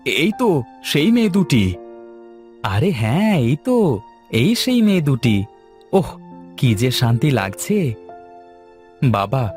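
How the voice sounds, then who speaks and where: A man's voice: mid-range voice, a little mellow. A man voicing a smitten young village son in a cartoon fairy tale, earnest and eager.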